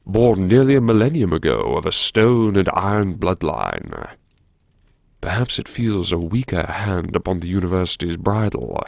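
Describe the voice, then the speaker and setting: Slow, creaky-voiced man narrating dramatically. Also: low-pitched, dry recording, studio quality. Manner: brooding, wry, deliberate. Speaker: audiobook narrator. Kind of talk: dramatic first-person scripted narration.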